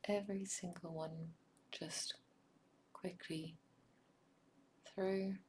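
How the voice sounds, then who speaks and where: A South African woman whose first language is Afrikaans, narrating a craft project in a soft, often whispered ASMR style with frequent ums. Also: fairly low pitch, fairly even intonation, extremely slow, a little hiss or hum. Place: home craft table.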